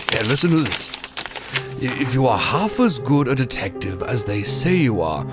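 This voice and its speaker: An actor playing a genial, easily flustered British doctor who narrates the tale. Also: male voice, mid-range voice. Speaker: Dr. Watson. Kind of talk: old-time radio drama narration.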